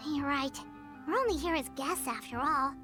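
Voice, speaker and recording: A woman's voice: high-pitched, moderate pace, music in the background. A woman voicing a tiny, chirpy floating girl companion in a game. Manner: chirpy, excitable.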